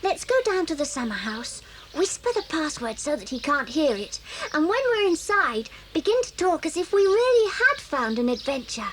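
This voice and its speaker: A bossy little schoolgirl, boastful and sly. The voice is high and very animated, commanding in a children's radio-play way, on a clearly noisy old recording. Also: normal speed. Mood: excited, scheming. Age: child figure. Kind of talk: children's audio drama acting.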